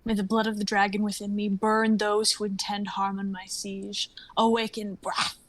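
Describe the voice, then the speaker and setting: A woman playing a proud, defiant warrior sorceress with dragon blood in an amateur fantasy script reading, forceful and declamatory. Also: medium pitch, level tone, normal speed, natural voice. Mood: fierce, incanting.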